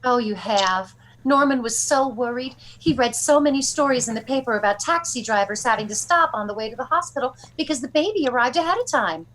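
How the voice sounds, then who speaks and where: A woman's voice: fairly high pitch, lively intonation, a little fast, fairly bright tone, low-quality recording. A woman playing an anxious, grateful young expectant mother in old-time radio comedy style.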